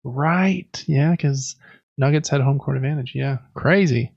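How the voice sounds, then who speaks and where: Male podcast co-host, easygoing and chatty, gravelly fry in the voice, dry and slightly lo-fi sound. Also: mid-range voice, unhurried, a little mellow, no room sound. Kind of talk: casual chat.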